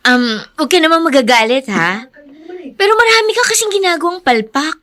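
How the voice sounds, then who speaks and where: A woman's voice: high voice, expressive, fairly quick, bright, forceful tone. An actress playing a frank young girlfriend who teases and scolds, in a radio drama. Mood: frank, chiding. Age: young adult.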